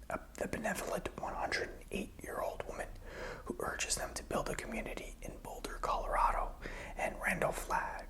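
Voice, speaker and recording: A man playing a calm, helpful survivor in a zombie apocalypse ASMR roleplay, whispering and speaking softly in a hesitant, intimate, musing way. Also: mid-range voice, close to an everyday voice, rough recording. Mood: quiet, musing.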